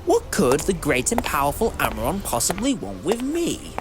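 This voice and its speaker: A man's voice: fairly high pitch, wildly swooping pitch, moderate pace, over music. A man playing an eccentric time-travelling hero in an audio drama, wry, modest and moralising, with a theatrical manner.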